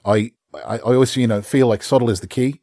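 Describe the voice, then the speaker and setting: A man, a voice actor, chatting casually in an interview and telling stories with lively quick voice changes. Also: mid-range voice, no echo, clean studio sound. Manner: animated storyteller, slips into quick voices. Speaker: voice actor guest.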